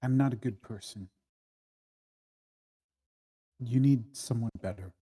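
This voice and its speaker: Man reading very dark-voiced, defeated addict. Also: mid-range voice, moderate pace, slightly rough, no echo, lightly acted. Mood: despairing. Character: guilt-ridden, defeated drug addict. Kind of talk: screenplay table read.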